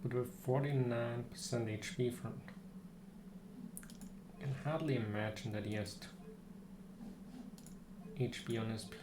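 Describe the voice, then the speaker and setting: A man's voice: mid-range voice, steady tone, a little slow, long pauses. A man giving casual live gameplay commentary as a streamer, musing half to himself. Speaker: game streamer. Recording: roomy echo, clear background noise with keyboard clicks.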